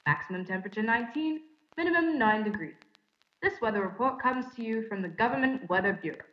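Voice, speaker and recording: Woman acting as 1930s radio news announcer, formal, measured, echoey room, slightly dull recording. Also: fairly high pitch, expressive, lightly acted.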